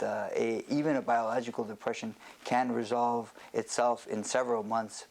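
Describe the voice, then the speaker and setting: A man with a medium-pitched voice gives an educational health presentation as a psychiatrist. He explains things in a measured way, often pausing hesitantly. There is a faint layer of noise behind him, like a simple video recording. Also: vocal fry, a little mellow, poor audio.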